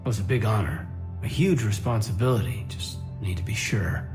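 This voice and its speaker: A man's voice: lowish voice, lively intonation, normal speed, natural voice. An actor playing a loyal, earnest male soldier in a video game, speaking with some hesitancy. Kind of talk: video game dramatic acting. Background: music.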